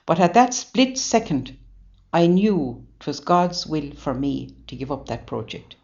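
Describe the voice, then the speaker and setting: An older Irish woman, a nun, recalling her life in an interview, earnest and halting with many restarts. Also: deep voice, very animated, darkish tone. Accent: Irish, Waterford. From Ireland. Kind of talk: interview reminiscence. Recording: dull lo-fi recording.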